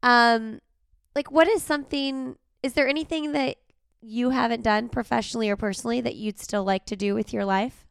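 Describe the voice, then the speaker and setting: Raspy-voiced woman podcast host. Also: on the high side, steady tone, moderate pace, no echo, clean studio sound. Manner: chatty, laughs often, many fillers. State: recovering from a cold. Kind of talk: casual interview chat.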